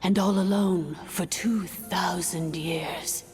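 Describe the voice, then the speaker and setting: A woman's voice: on the low side, fairly even intonation, takes it slowly, a little mellow, soft background music. A woman voicing a solemn, commanding ancient priestess in a video game, with a stately, archaic manner. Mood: sombre sympathy. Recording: long hall reverb.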